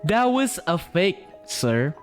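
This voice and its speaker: Guy streaming a game, slangy reactions, slow but swoopy voice, faint music. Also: high voice, very animated. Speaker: live streamer. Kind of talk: casual gameplay reactions, slangy.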